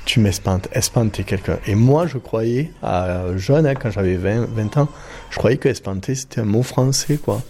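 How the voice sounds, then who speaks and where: A man with a low, dark voice tells a casual story in an interview. His delivery is relaxed, with faint noise in the background. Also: moderate pace. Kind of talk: casual anecdote.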